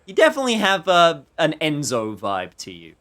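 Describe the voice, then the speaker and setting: A man's voice: on the high side, wildly swooping pitch, normal speed, fairly bright tone. A man giving casual live gameplay commentary as a streamer, rambling and searching for his words. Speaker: game streamer.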